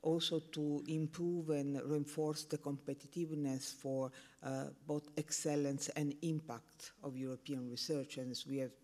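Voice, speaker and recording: A man from Italy with an Italian accent, a non-native speaker giving a conference presentation and often pausing with "uh". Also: a little high, level tone, warm tone. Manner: halting, repeats words and fills with uh. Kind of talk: conference talk.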